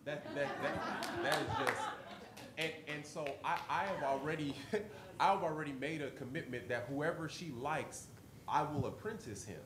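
A man's voice: a little high, normal speed, crowd noise. A man on a conference panel, speaking playfully and repeating words as he starts sentences. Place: conference session room. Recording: roomy session recording with noise.

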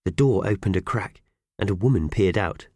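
A man narrating a children's audiobook in an animated storytelling style. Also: low-pitched, normal speed, breathy edge, dry recording, studio quality.

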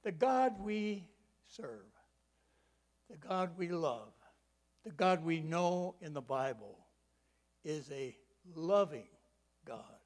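An elderly man in his eighties delivers a sermon in a slightly raised voice. He leans hard on key words, stretching them out, then slips into quiet, homely asides and personal stories. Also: on the high side, lively intonation, slow pace, long pauses. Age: 80s. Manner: folksy personal storytelling. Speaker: preacher.

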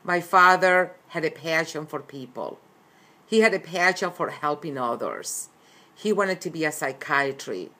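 Interviewee: middle-aged woman, low voice, earnest and repetitive. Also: lively intonation, a little slow, bright, forceful tone. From Colombia. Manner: earnest, repeats phrases. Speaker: interviewed author. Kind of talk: recorded interview.

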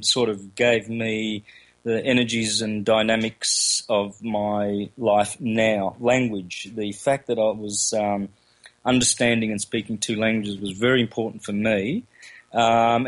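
A man with an Australian accent, first language Calabrian, speaking as an interview guest, halting with many fillers and restarts. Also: lowish voice. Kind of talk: casual interview talk.

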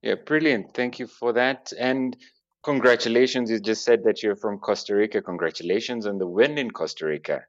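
A man's voice: fairly low pitch, moderate pace, dull audio, soft background music. A man interviewing a guest, asking questions with short encouraging affirmations. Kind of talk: conversational interview.

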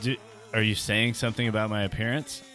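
A man co-hosting a podcast in casual comedic banter, with a halting, mock-indignant way of riffing. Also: fairly low pitch, level tone, a bit bright. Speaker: podcast co-host.

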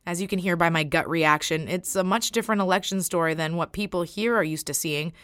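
Low-voiced woman hosting a podcast. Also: fairly bright tone. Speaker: podcast host. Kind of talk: scripted narration.

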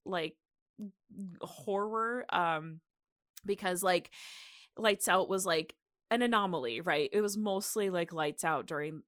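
A woman's voice: fairly low pitch, slow, a bit bright, no echo, studio quality. A young woman hosting a podcast in a casual, rambling way, peppering her talk with "like". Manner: rambling, heavy use of "like". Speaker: podcast host.

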